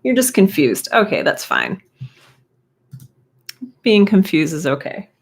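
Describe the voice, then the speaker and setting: A woman hosts an informal live stream in a middle-pitched voice. She chats in an encouraging, conversational way, reading comments and greeting people as they come in. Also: wildly swooping pitch, slow, vocal fry. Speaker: live stream host and writing teacher.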